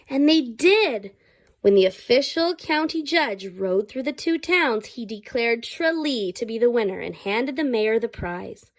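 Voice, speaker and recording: A woman reading a children's story aloud expressively, like a teacher, pausing to explain and ask the young listeners questions. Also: a little high, darkish tone.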